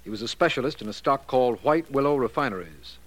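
A man's voice: mid-range voice, lively intonation, fast talker, lightly acted. A man playing an earnest, matter-of-fact district attorney in a 1940s radio mystery.

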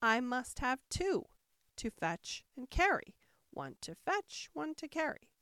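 A woman's voice: sits high, very animated, a little slow, no room sound, clean studio sound, pitched above the performer's own voice. A woman voicing a fussy, easily alarmed and impatient king in a storybook reading, with a petulant, pedantic manner. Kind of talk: storybook character voice.

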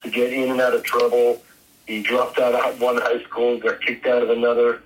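Male interviewee, sixties, medium pitch, informal and conversational, telephone-like recording. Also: monotone, normal speed. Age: 60s. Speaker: interviewee remembering his father. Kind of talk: casual interview talk.

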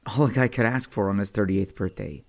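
A man playing a wry, lovestruck 38-year-old vigilante hero who narrates his story in a superhero audio drama, with a husky, self-deprecating delivery. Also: lowish voice, brisk, darkish tone. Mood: weary, reflective.

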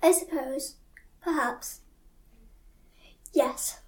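A grieving, troubled mother, played by a woman in an amateur audio drama. Her voice is very high, and she speaks with earnest emotion. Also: steady tone, very slow. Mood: resigned. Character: distressed, grieving mother of a lost boy. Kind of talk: community audio drama acting.

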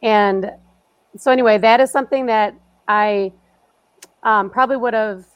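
A woman's voice: on the high side, moderate pace, clear, resonant tone, no room sound, muffled recording. A woman writer in casual chat, hesitant and self-deprecating. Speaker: podcast guest, writer.

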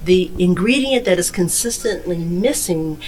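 A middle-aged or older American woman answering as a podcast guest, earnest and emphatic, with deliberate phrasing. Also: lowish voice, lively intonation, a little mellow. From Detroit, United States. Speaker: podcast guest, nonprofit chief executive. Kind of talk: conversational interview answers.

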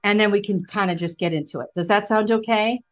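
An American woman from the East Coast speaks at a middling pitch. She hosts a podcast interview in a conversational, chatty way and sounds encouraging toward her guest. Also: fast talker. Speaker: podcast host.

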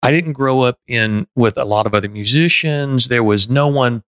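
A man's voice: mid-range voice, normal speed, fairly bright tone, clean tone, no echo. Man from Texas, middle-aged podcast host, earnest and reflective, dry close sound. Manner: earnest, self-reflective, frequent restarts. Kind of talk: casual conversation.

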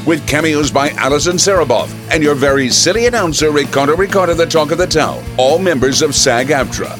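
A man's voice: a little high, fairly quick. A man reading scripted credits as a radio show announcer, with a showy delivery. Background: music.

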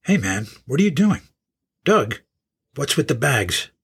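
A man's voice: mid-range voice, big pitch swings, unhurried, vocal fry, noisy background. An actor playing a baffled young male rock drummer in an audio drama, incredulous and pleading.

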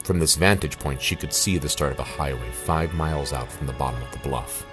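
Extremely deep-voiced male drama narrator. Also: brisk. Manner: dramatic, suspenseful storytelling. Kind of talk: scripted audio drama narration. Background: music.

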